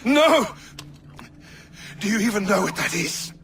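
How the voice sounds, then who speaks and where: Frail desperate scholar, high voice, slow, sounds off the mic. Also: male voice, animated, gravelly, far from the mic, noisy background, full character voice. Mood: desperate, bitter. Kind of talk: video game cutscene acting.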